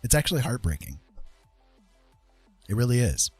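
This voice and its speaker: A man's voice: medium pitch, very animated, moderate pace, a little mellow, breathy edge, no echo, faint music behind. A man hosting a casual livestream, talking in an earnest, emphatic monologue and repeating phrases for stress. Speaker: livestream host.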